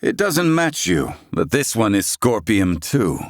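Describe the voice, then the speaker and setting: A man's voice: fairly low pitch, very animated, unhurried, dry recording, clean studio sound. A man playing an erudite, haughty yet curious scholar in a video game, speaking in a formal, eloquent way with archaic wording. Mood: intrigued.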